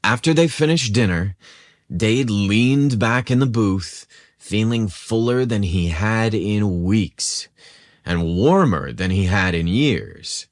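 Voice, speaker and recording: A man narrating a scripted romantic story in an intimate, unhurried way. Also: fairly low pitch, dry recording, studio quality.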